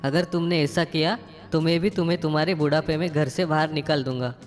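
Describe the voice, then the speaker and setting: Woman as threatening young grandson, cartoon dream, low pitch, long echo, faint music. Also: fairly even intonation, brisk, lightly acted. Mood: stern warning. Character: stern young grandson warning his mother in a dream. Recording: long echoing reverb.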